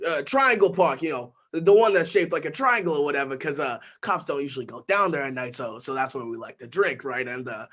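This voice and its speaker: A man's voice: on the high side, fairly bright tone, lightly acted. An actor playing a nervous seventeen-year-old boy who stammers, repeats himself and leans on fillers in a rambling monologue. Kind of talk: dramatic monologue.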